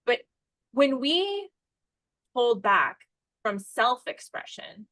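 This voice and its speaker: A woman's voice: fairly high pitch, big pitch swings, slow, bright, forceful tone, no echo. Woman hosting a podcast, dry sound. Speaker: podcast host. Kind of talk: casual podcast conversation.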